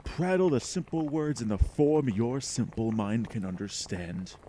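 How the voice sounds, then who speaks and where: Casting: arrogant, menacing alien being, radio horror, deep mellow voice, pompous delivery, faint noise behind. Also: male voice, very animated. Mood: contemptuous. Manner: slow, pompous, contemptuous declamation. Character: ancient, arrogant, menacing alien mind from a meteor. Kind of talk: retro radio drama acting.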